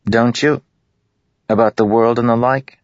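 A man's voice: medium pitch, steady tone, unhurried, no room sound, voice pushed up, natural voice. A man reading aloud, voicing a tall, spindly, impatient boy who keeps pressing with questions. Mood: eager. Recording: dull lo-fi recording.

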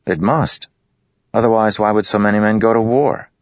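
A man's voice: on the low side, moderate pace, dry recording, voice pushed up, close to an everyday voice. A man reading aloud, voicing a clean, bookish, slightly awkward boy who explains things carefully. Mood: explaining. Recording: dull lo-fi recording.

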